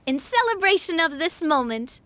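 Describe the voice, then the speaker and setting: Gracious young lady boss, high bright voice, cheerful, poised, elegant, video game, faint music. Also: big pitch swings, normal speed, slight background noise, heavily acted. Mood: cheerful, sincere. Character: gracious, upbeat young woman boss, warm-hearted and elegant. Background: soft game music.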